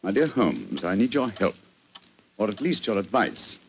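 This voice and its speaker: A man's voice: lowish voice, big pitch swings, measured pace, vocal fry, voice pushed down, heavily acted. Old English doctor reading his letter. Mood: earnest. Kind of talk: 1940s radio acting. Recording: distant, hissy old radio sound.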